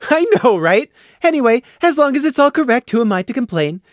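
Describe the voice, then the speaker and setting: A man voicing a bubbly, pushy female unicorn mayor who chatters brightly and bosses everyone around. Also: extremely high pitch, big pitch swings, dry recording, studio quality, pitched above the performer's own voice. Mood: bubbly bossy. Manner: bright, bossy, rapid-fire chatter.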